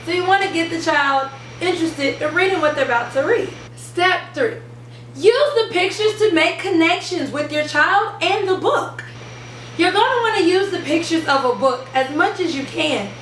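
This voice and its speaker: A woman hosting an instructional video for parents and teachers, speaking in an animated, emphatic, teacherly way. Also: very high voice, moderate pace. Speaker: host of an education channel for parents and teachers. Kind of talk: upbeat instructional video to camera. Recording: roomy, distant mic, faint background noise.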